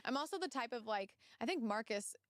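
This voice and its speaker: A woman's voice: a little high, animated, fairly quick, fairly bright tone, dry recording, studio quality. A woman co-hosting a podcast, talking casually and rambling with plenty of "like", reacting with enthusiasm. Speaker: podcast co-host. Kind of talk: casual chat.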